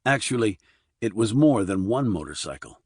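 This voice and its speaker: A man's voice: fairly low pitch, sing-song, normal speed, a little mellow, husky, no echo, studio quality. A man reading scripted crime thriller narration as an audiobook narrator.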